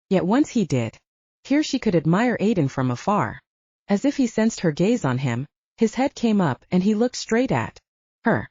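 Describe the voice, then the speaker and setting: A woman narrates fiction for an audiobook in a mellow, husky voice with very lively intonation. It is a scripted read, recorded close and dry with a slightly dull sound. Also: on the low side, no room sound.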